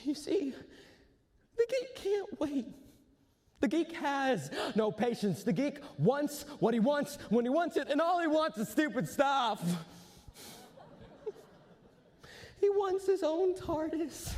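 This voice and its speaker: A man's voice: extremely high pitch, measured pace, pitched above the performer's own voice, exaggerated voice. A man performing an obsessive, impatient sci-fi geek in an emphatic, exaggerated, gushing way on stage. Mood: impatient craving. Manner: emphatic, over-excited, exaggerated. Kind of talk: theatrical competitive poetry performance.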